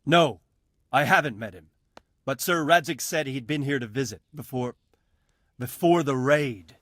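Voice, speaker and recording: Game noble: mid-pitched male, shrewd, resolute, dry recording man acting. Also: a little slow, a bit bright, no echo, close to an everyday voice. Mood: questioning. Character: shrewd, resolute lord of a castle.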